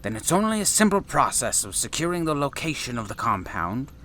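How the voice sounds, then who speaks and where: A man's voice: medium pitch, sing-song, brisk, sharp tone, a little hiss or hum. A man playing an eccentric, insistent space traveler and professor in a sci-fi audio drama, with a theatrical, wordy, formal manner. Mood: persuasive.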